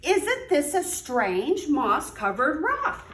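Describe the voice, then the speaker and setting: A woman reads as a scheming trickster spider in a children's storybook, all friendly on top and crafty underneath. The delivery is exaggerated and swoops widely in pitch. The recording is roomy and a bit distant. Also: wildly swooping pitch, takes it slowly, fairly bright tone, exaggerated voice. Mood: intrigued. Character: sly, scheming trickster male spider of folktale. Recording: roomy, distant mic with faint noise.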